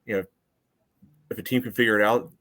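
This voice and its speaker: A man's voice: on the low side, fairly even intonation, a little fast, a bit bright. A man co-hosting a soccer podcast, casually analysing play in an explanatory way with frequent "you know" asides. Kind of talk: casual sports analysis chat.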